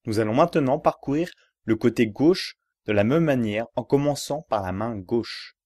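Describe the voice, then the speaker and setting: A man giving guided yoga relaxation instructions in a measured, repetitive, step-by-step manner. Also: medium pitch, animated, a little mellow, no room sound, clean studio sound.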